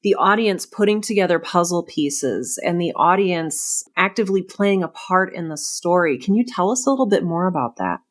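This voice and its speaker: A woman's voice: fairly low pitch, lively intonation, moderate pace, few pauses. A woman hosting a podcast interview in a conversational, enthusiastic and encouraging way. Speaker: podcast host interviewing a writer.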